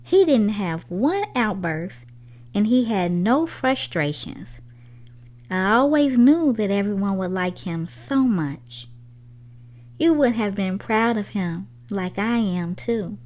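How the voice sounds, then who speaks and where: A woman's voice: mid-range voice, expressive, slow, a little mellow. A woman voicing a sweet, affectionate young schoolboy in a storybook, earnest and gushing. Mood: proud.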